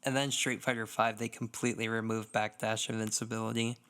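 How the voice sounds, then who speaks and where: A man co-hosting a casual podcast, talking through his opinions in an explanatory, measured way. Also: fairly low pitch, little pitch movement, sharp tone. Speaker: podcast co-host. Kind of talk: casual gaming podcast chat.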